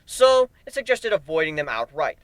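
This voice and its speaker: A man's voice: on the high side, very animated, moderate pace, clean tone, no room sound. A man narrating a first-person story dramatically, as an amateur reader.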